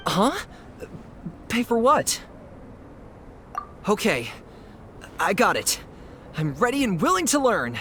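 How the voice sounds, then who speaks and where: An actor playing an eager, polite young novice adventurer in a video game. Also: male voice, high voice, wildly swooping pitch, slow, long pauses, pushed and bright, a little hiss or hum, full character voice. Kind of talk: game voice acting.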